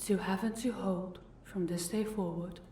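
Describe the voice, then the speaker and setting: Young royal daughter voiced by a woman, composed and dutiful, medium-pitched, for romantic audio drama. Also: steady tone, measured pace, mellow, slight background noise, lightly acted. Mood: solemn. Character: young princess, composed, dutiful, quietly protective. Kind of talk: audio roleplay drama acting.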